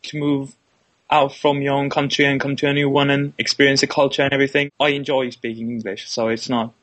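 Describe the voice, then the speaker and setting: A man's voice: medium pitch, level tone, fairly quick, a bit bright. Young finnish guy, english not his first language, keeps restarting, lots of kinda like. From Finland. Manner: hesitant, repeats words, many "kinda like" fillers. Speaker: illustration student, interviewee. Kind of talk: interview answers.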